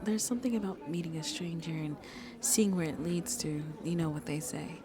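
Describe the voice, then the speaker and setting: A woman playing a shy, sweet vampire on a first date in an ASMR roleplay, soft-spoken and hesitant, often dropping to a whisper. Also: low voice, normal speed, close to an everyday voice. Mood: shy, warm. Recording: crowd chatter in the background. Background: crowd chatter.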